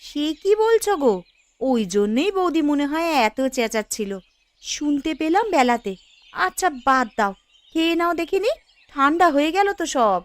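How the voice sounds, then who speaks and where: A woman voicing an outspoken young village housewife in an animated cartoon story, brisk, homely and nagging. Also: high-pitched, no echo. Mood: homely, attentive.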